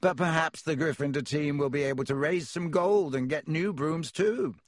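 A man's voice: fairly high pitch, moderate pace, no room sound, voice pushed up, lightly acted. Smug, spoilt schoolboy bully, voiced by a male narrator, drawling and spiteful, dry storybook recording.

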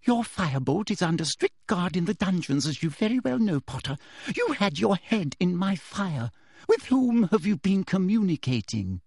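A prim, sweetly cruel witch professor, as a male reader puts her on, soft and quietly menacing. Her voice rises well above his own and lilts as she talks down to her captives. The sound is close and dry. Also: sits high, sing-song, moderate pace, vocal fry, husky, no echo, voice pushed up, full character voice.

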